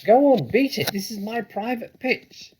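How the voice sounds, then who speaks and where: A shrill, squeaky little storybook rat. He is snappish and bossy, guarding his patch in an indignant shriek pitched very high. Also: expressive, a little slow, noisy background. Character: small, shrill, cross, territorial male rat.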